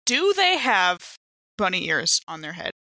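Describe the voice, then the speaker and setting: A woman's voice: on the low side, big pitch swings, unhurried, sharp tone, dry recording. A woman playing a tabletop role-playing game, playfully reacting and casually improvising for her character. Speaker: tabletop game player on a podcast.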